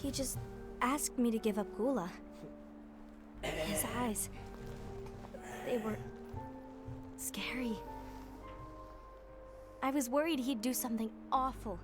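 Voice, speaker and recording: A woman playing a dutiful, gentle but firm young heroine in a dramatic game cutscene, earnest and worried. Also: on the high side, slow pace, long pauses, over music.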